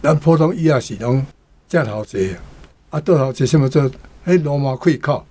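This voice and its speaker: A man's voice: medium pitch, normal speed, mellow. A male guest commentator who rereads lines from a novel and explains them. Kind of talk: explaining a passage from a book.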